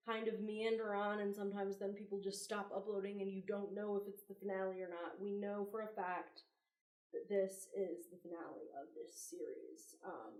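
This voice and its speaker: A woman's voice: mid-range voice, a little mellow, roomy sound, rough recording. A woman chatting casually as a video host giving commentary, playful and teasing, with the odd mild swear. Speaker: YouTube host reviewing analog horror.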